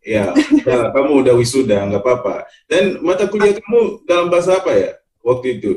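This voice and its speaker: A man's voice: a little high, very animated, a little fast, darkish tone. A man hosting a casual podcast interview, giving short encouraging reactions and sometimes switching into English. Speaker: podcast host. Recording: voice distant from the microphone.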